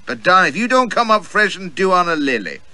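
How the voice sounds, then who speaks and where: A flirtatious gentleman who flatters in a raised, bright voice, which a man puts on. He gushes in a storybook manner. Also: high voice, quick pace, no room sound, voice pushed up, full character voice. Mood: flattering. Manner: flattering, gushing. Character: gallant flirtatious male rake.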